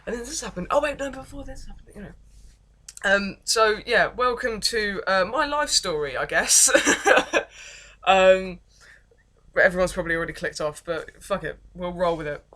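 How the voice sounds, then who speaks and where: A young woman with an English accent and a deep voice, telling her life story in a rambling, self-deprecating vlog with many false starts. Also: normal speed, pushed and bright. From England. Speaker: vlogger. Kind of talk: casual confessional vlog, reading from notes.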